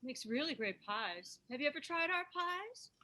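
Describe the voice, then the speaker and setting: A woman acts out a young diner waitress at a script read. Her voice is high and bright, swinging widely in pitch, with a folksy, perky, easily flustered way about her. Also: big pitch swings, normal speed, heavily acted. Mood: eager. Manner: folksy, chirpy. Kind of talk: screenplay table read.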